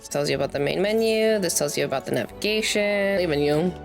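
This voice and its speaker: A woman's voice: on the low side, lively intonation, fast talker, gravelly. Chatty woman streaming over game music. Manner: chatty, self-interrupting, playful asides while snacking; reads game dialogue aloud, stumbling over fantasy names. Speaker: streamer, gamer. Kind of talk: casual let's play livestream commentary. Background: video game music.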